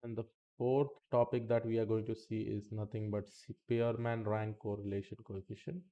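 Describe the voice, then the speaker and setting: A man's voice: lowish voice, little pitch movement, moderate pace, lo-fi sound. A man teaching statistics in a live-streamed lesson, chatty and talking to the chat between points, saying okay often.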